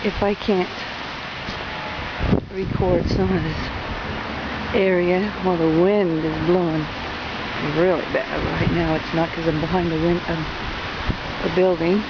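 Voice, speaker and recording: A woman's voice: on the low side, steady tone, unhurried, long pauses. A woman chatting casually as she films her walk past canals and fields, rambling with many restarts. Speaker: vlogger filming her walk. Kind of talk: casual walking commentary. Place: outdoors by canals and fields on a windy day. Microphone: handheld camera mic. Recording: muffled camera audio with loud wind noise.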